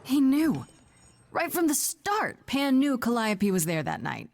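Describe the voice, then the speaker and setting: Level-headed sceptical young heroine. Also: female voice, fairly high pitch, wildly swooping pitch, dry recording, exaggerated voice. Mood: realizing. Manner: casual modern phrasing, measured, dryly sceptical. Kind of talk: naturalistic video game voice acting.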